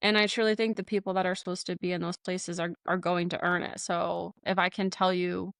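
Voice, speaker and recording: A woman's voice: on the low side, level tone, a little fast, gravelly, a bit bright, no echo, clean studio sound. A young woman, a flight nurse, talking candidly as a podcast guest, laughing often and using many fillers. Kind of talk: casual interview talk.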